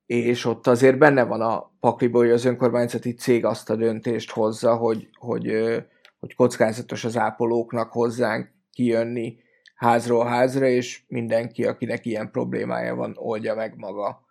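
A man in a casual podcast discussion, speaking in a hesitant, halting way and often repeating words. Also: medium pitch, monotone, vocal fry, darkish tone. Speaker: football fan podcast guest.

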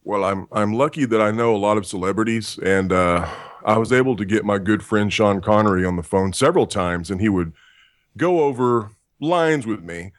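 A man who works as a voice actor, with a deep voice and a dry, self-deprecating sense of humor, answering interview questions. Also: normal speed, no echo.

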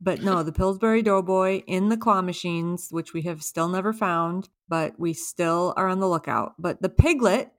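A woman's voice: lowish voice, moderate pace, hardly any pauses. Middle-aged mother, modest and wry. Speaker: co-host, mother. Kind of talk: casual family chat.

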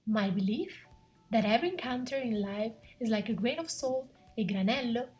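A woman hosting a podcast who reads a scripted introduction and then asks her guest questions in an earnest, measured way. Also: a little high, animated, darkish tone, breathy edge, faint music behind. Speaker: podcast host.

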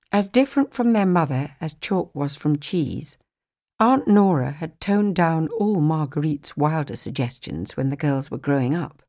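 A woman narrating a novel as a scripted audiobook, with an expressive, measured storytelling manner. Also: low voice.

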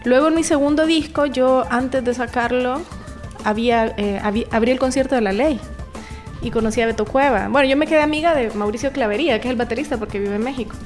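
A talkative Salvadoran woman in her forties, a singer-songwriter guest recounting things in a relaxed, laughing way. Her voice sits a little high for a woman, and music plays under the talk. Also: quick pace. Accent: Salvadoran Spanish. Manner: chatty, laughs often, restarts words. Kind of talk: casual interview chat.

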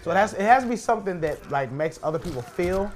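A man's voice: high-pitched, animated, quick pace. A man in a casual podcast chat while playing a video game, arguing his points and repeating them for emphasis. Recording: clearly noisy room recording.

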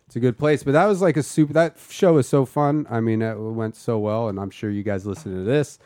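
Laid-back rambling podcast host. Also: male voice, medium pitch, a little fast, mellow, dry recording, studio quality. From Chicago. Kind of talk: casual chat.

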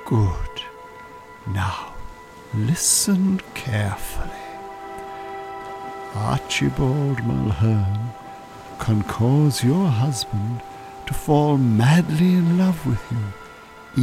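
Radio drama: Irish male hypnotist swindler, slow, lively intonation, courteous and oily, music behind. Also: mid-range voice, creaky. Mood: soothing, hypnotic. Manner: courteous, unctuous, soothing, professional. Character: smooth, calculating male con-artist hypnotist posing as scientist.

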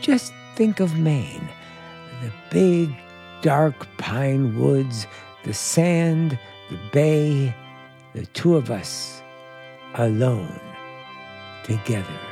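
An actor playing a sinister, coaxing bridegroom in an old-time suspense radio drama, with a folksy, drawling, insistent manner. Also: male voice, mid-range voice, sing-song, extremely slow, pauses often, mellow, faint music behind, exaggerated voice. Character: sinister, coaxing New England bridegroom with secrets.

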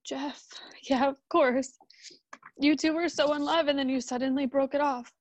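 High-voiced actress as a sentimental young-sounding woman. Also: fairly even intonation, moderate pace, highs cut off, natural voice. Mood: subdued, wistful. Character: Hannah, sentimental grown daughter reuniting with old friend. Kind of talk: radio drama dialogue.

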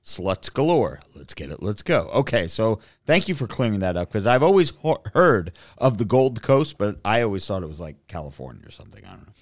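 Lively, joking male podcast host. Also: lowish voice, fairly quick, hardly any pauses, dry recording, studio quality. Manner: animated and joking. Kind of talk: casual podcast chat, reading listener messages.

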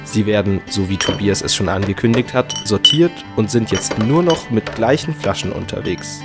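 A man with a fairly low voice narrates a scripted story for children. Music plays clearly behind his storytelling. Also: moderate pace.

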